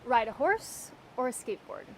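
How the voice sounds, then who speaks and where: A woman in her thirties asking interview questions in a friendly, chatty way, quick to agree. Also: on the high side, huge pitch swings, creaky, slight background noise. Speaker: interviewer and co-star. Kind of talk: casual interview chat.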